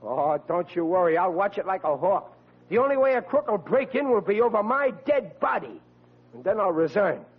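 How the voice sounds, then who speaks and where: A man's voice: sits high, sing-song, normal speed, husky, exaggerated voice. An actor playing a bumbling, good-hearted young policeman with folksy blue-collar speech in a vintage radio comedy. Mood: eager, boastful. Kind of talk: 1940s radio comedy acting. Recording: noisy, muffled vintage radio recording.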